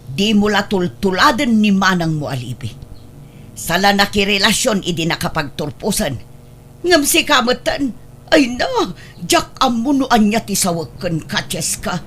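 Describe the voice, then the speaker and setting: Stern, scolding mother with swooping pitch, acted by a woman. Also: very animated, unhurried, fairly bright tone, a little hiss or hum. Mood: bitter, reproachful. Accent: Ilocano. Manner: scolding, reproachful. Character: stern, ashamed mother rejecting her pregnant daughter. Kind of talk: Ilocano audio drama acting.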